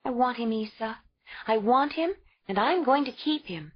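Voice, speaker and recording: A woman's voice: fairly high pitch, animated, moderate pace, voice pushed up. A woman voicing a fierce young cave woman, a new mother pleading tearfully and refusing to give up her baby. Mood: fierce defiance. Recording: dull lo-fi audio.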